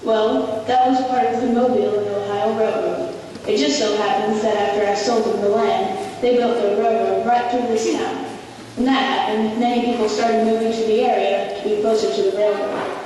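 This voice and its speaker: A woman's voice: on the high side, fairly even intonation, normal speed, warm tone, lightly acted. Echoey, distant ghostly princess, acted by a woman. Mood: dignified storytelling. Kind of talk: scripted puppet show dialogue. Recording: echoey hall from far off, noisy.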